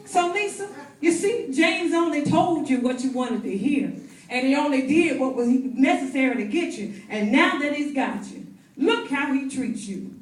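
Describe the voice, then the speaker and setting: A woman's voice: sits high, animated. A woman acting in a stage play as a blunt, sassy, protective best friend, with emphatic, sardonic delivery. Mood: mocking, emphatic. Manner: emphatic, drawn-out, sardonic, preacherly flourishes. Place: stage in a roomy hall. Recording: echoey hall from far off.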